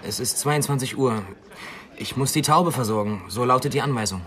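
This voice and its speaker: A man's voice: medium pitch, moderate pace, fairly bright tone, natural voice. An actor playing a casual, irreverent junior policeman with an informal manner in a radio crime drama. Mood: dutiful. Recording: noisy ambient background.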